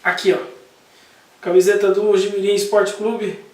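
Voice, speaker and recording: A man's voice: high voice, little pitch movement, moderate pace, darkish tone, recorded from a distance. A Brazilian man hosting a casual talk to camera, chatty and jokey, with asides about the game. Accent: Brazilian Portuguese. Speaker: host. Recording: echoey room, voice a bit off-mic.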